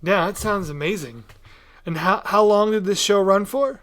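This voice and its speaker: Higher-voiced man hosting casually. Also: normal speed, fairly bright tone. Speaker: podcast host. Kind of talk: casual interview.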